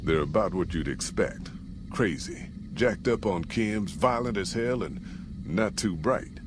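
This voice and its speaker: An American actor playing a gruff, folksy caravan boss in a post-apocalyptic video game, with plain-spoken frontier drawl. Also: male voice, fairly low pitch, expressive, a little slow, background noise. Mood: grim, warning. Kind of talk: scripted video game character dialogue.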